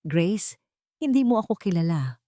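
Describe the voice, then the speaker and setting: A woman voicing a manipulative rich husband in an audio drama, controlling and deliberate. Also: fairly low pitch, very animated, mellow, no echo, clean studio sound. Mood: cold, threatening. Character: manipulative, menacing rich husband, coaxing then coldly threatening.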